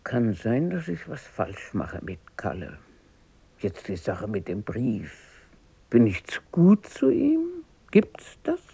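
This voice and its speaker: An older woman acting a feisty, warm-hearted, plain-spoken working-class grandmother in a radio drama. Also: extremely low pitch, vocal fry, slightly rough, no room sound, full character voice. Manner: plain-spoken, grumbling, down-to-earth.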